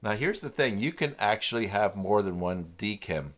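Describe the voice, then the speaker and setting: Male tutorial streamer, deep register, informal and halting, talks to himself and reads off the screen, often trailing off. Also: animated. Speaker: livestream host working through website email setup. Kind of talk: casual livestream tutorial, thinking aloud and reading from screen.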